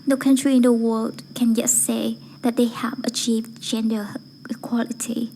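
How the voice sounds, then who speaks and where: A woman with a fairly high, breathy voice recites a formal speech. Her delivery is measured and deliberate, with very little melodic movement. The tone is soft-edged and mellow, over faint background noise. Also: level tone, poor audio. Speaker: amateur voice-acting student reciting a speech.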